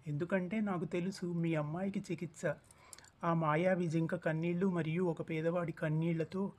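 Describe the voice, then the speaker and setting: A man's voice: fairly high pitch, fairly even intonation, normal speed, natural voice. A man playing a benevolent, knowing holy man in a cartoon story, explaining with assurance. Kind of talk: acted cartoon story dialogue.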